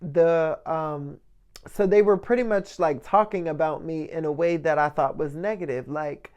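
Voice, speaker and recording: A man with a light, soft voice giving a casual motivational monologue as a vlogger, often checking in with the listener. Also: on the high side, moderate pace, highs cut off. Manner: conversational, checks in often with "right?" and "you know".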